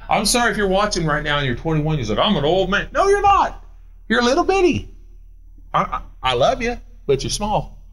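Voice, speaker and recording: A man in his forties, a pastor preaching a sermon, animated and answering imagined questions, moving up and down as he talks. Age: 40s. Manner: animated, swooping pitch, paces while preaching.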